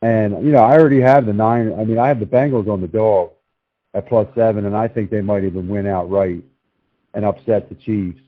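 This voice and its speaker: A man's voice: lowish voice, steady tone, a little fast. A man hosting a casual sports talk show, chatting about picks over a narrow, tinny line.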